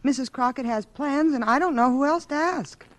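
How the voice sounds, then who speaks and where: A woman's voice: high voice, normal speed, close to an everyday voice. An actress playing a polite American mother in a radio drama, with a courteous, slightly hesitant manner. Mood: apologetic, courteous. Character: polite, apologetic American mother, young widow.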